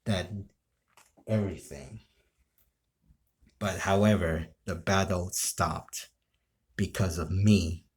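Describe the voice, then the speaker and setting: A man's voice: low-pitched, steady tone, takes it slowly, pauses often. A man talking casually and informally to viewers about his own role play, rambling a little. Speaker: amateur role-play video creator.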